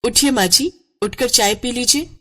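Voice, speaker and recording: A woman's voice: moderate pace, bright, forceful tone. A woman voicing a demure, polite young village daughter-in-law in a cartoon story, respectful and naive. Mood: gentle respect. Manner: respectful, naive, higher than narration.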